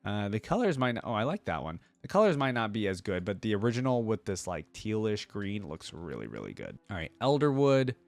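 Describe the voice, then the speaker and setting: Male reaction-video host, lowish voice, informal off-the-cuff commentary, dry close recording. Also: sing-song, a little fast, creaky, few pauses, dry recording. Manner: informal, thinking aloud.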